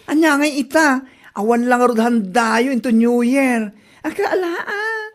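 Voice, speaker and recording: Woman acting a high-pitched, teasing Ilocano neighbour. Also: lively intonation, slow pace. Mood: mocking. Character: gossipy, teasing neighbour woman, a smug friend of Anita. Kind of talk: comedy radio drama acting.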